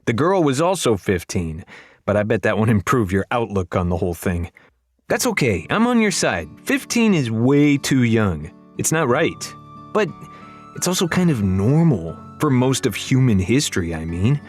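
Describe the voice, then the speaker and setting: Audiobook narrator, male, mid-pitched, conversational and wry, talking directly to listeners over a music bed. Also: sing-song, moderate pace. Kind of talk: storytelling narration of a children's fantasy novel.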